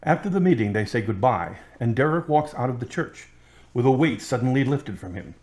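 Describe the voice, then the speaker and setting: A man's voice: mid-range voice, lively intonation, a little fast, darkish tone. A man narrating a scripted audio drama as a storyteller, describing the scenes in the third person.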